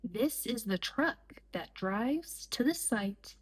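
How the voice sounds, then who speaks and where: A woman with a fairly high voice reads a children's picture book aloud. Her pace is extremely slow, with short, deliberate phrases and pauses between them, in the style of storytime for young listeners. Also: expressive, breathy edge.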